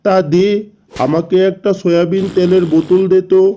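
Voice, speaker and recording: Gruff animal customer for a cartoon, man acting: very dark, muffled tone, fairly high for a man, music under. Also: level tone, moderate pace, natural voice. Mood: gruff, approving.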